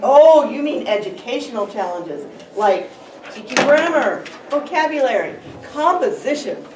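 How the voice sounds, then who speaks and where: A woman's voice: high-pitched, lively intonation, unhurried. A woman playing a naive, eager questioner, reading from a script in readers' theater. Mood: puzzled. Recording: distant, echoey room with faint noise.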